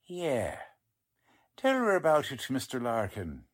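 A folksy, boastful radio-play sidekick, acted by a man, slangy and bumbling. He drawls slowly, with pitch that bounces all around for comic effect. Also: huge pitch swings, exaggerated voice. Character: folksy, ungrammatical, boastful sidekick of a lady reporter. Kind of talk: 1940s radio drama acting.

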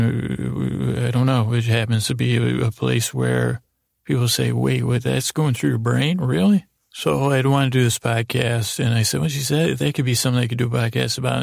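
A middle-aged American man with a very creaky, gravelly voice hosts a podcast in a flat, even tone. He rambles through personal stories, halting and restarting, often putting himself down. The recording is close and dry, with no room sound. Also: mid-range voice, no room sound. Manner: halting, meandering, self-deprecating. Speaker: podcast host.

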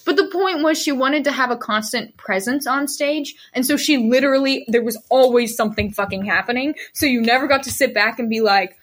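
A young woman hosting a podcast, chatting casually and joking, swearing freely, with a shrill voice. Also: brisk, hardly any pauses, a bit bright. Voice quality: self-described shrill voice. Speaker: podcast host. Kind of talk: casual chat among friends.

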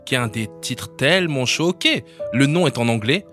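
A man's voice: mid-range voice, lively intonation, moderate pace, music in the background. A man hosting a casual interview, playful and loose in his phrasing. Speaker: host.

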